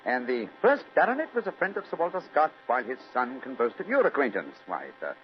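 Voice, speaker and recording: An actor playing a keen, precise British gentleman detective in an old radio drama. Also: medium pitch, wildly swooping pitch, fast talker. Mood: inquisitive, amused. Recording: muffled old radio recording, music behind.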